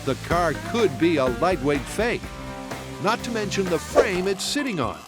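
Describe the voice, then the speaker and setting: A man narrating a science documentary in a lively, swooping voice that sits a little high for a man. He delivers scripted lines with a playful, punning touch, with music running underneath. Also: a little slow.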